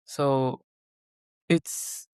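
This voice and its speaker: A woman guest in a conversational interview, reflective and calm, repeating her key phrases for emphasis. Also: extremely slow, no room sound, studio quality. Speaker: guest, doctoral researcher.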